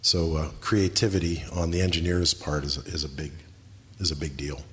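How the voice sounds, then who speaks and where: Low-voiced man explaining engineering. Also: fairly even intonation, a bit bright. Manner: measured, explains with lists. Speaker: civil engineering firm guest. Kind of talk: interview answers.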